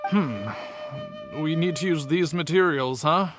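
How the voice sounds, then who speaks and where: An actor playing a polite, friendly, modest gentleman winemaker in a video game, speaking in a courteous, earnest, slightly hesitant way. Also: fairly high pitch, takes it slowly. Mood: agreeable. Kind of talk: scripted video game character acting. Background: background music.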